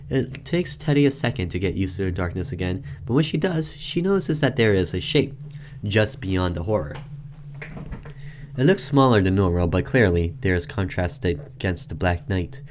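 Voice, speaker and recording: Low-voiced man hosting a game playthrough. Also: expressive, moderate pace, slight background noise. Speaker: gaming video host. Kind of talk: reading a visual novel aloud and giving casual commentary.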